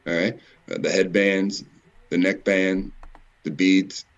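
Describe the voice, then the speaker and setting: A man giving informal, rambling commentary in a halting way, repeating words and swearing mildly now and then. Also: sits low, flat delivery, muffled recording. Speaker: video presenter. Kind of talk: informal rambling commentary over on-screen images.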